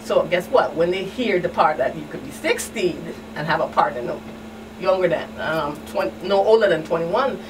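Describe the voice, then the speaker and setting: Low-voiced Caribbean woman, noisy distant recording. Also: moderate pace. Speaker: guest expert, community outreach specialist. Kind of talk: conversational talk show answers. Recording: distant mic, clear background noise.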